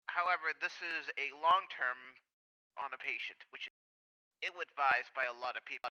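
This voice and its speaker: A blunt military surgeon acted by a man in a sci-fi game roleplay. His voice sits slightly high and has a hard, very bright edge. He explains things clinically, in halting phrases. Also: low-quality recording. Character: blunt military surgeon interrogating and treating a cyborg prisoner. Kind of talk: improvised online roleplay.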